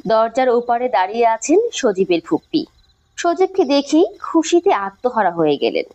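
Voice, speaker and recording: A woman's voice: on the high side, big pitch swings, moderate pace, darkish tone, dull audio. A woman narrating a scripted horror story in a dramatic, suspenseful manner.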